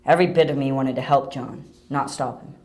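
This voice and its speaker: A man's voice: medium pitch, fairly even intonation, a little fast, a little mellow, natural voice. A man acting an earnest, conflicted young detective in an amateur audio drama, recorded distant from the mic in a very echoey room. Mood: downcast.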